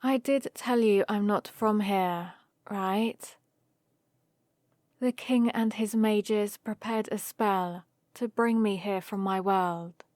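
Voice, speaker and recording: A woman plays a young adventuring heroine in an ASMR roleplay. She speaks slowly and intimately, close and dry on the mic, with a fond, playful, teasing manner toward her companion. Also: mid-range voice, fairly even intonation, a little mellow, dry recording, lightly acted. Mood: playful, confiding. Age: young adult. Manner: teasing, unhurried, intimate one-sided conversation. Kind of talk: ASMR roleplay acting, addressed to the listener. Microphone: close studio-style microphone, dry.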